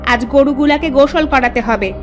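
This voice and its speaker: A woman voicing a sharp-tongued, scolding village wife and mother in a cartoon, with a nagging, scornful manner. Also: high voice, a little fast, soft background music, close to an everyday voice. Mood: bossy. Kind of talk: exaggerated Bengali cartoon voice acting.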